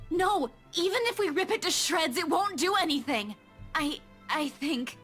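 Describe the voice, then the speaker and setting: Visual novel heroine: young woman, very high voice, earnest and distressed, music behind. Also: expressive, measured pace, fairly bright tone, exaggerated voice. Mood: distressed, timid. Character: young wife and women pleading, distressed, trying to be reasonable.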